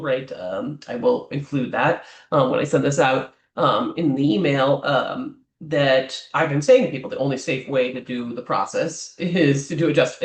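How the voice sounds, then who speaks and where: A man's voice: mid-range voice, a bit bright. Talkative male lawyer in an echoey room. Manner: talks off the cuff, ends many points with a questioning "right?"; frequent "um" fillers and restarts. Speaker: immigration lawyer. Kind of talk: explanatory advice talk to clients. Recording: roomy echo.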